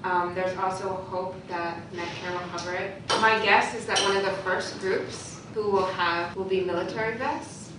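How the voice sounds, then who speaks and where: A woman's voice: medium pitch, fairly even intonation, moderate pace, few pauses. Woman explaining from across an echoey room. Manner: friendly, explanatory, with frequent ums. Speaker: occupational therapist demonstrating a device. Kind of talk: casual demonstration and Q&A. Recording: echoey room from a distant mic with noise.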